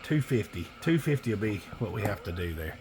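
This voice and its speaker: A man's voice: lowish voice, very animated, a little fast. A man giving a casual, folksy product demo of radio gear, muttering informal asides as he tests it. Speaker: radio seller demonstrating equipment. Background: CB radio noise.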